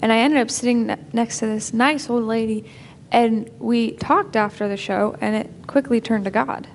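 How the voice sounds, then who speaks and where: Woman playing sincere young niece, highish voice. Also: normal speed, a little hiss or hum, close to an everyday voice. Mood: earnest, glad. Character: sincere young niece, eager new believer. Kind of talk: naturalistic dramatic acting.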